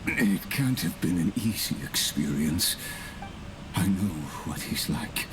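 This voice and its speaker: Very deep, weary immortal warrior. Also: male voice, animated, background noise. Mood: grave. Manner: solemn, formal, halting delivery. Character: weary, regretful immortal male warrior with refined gravitas. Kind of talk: English game voice acting.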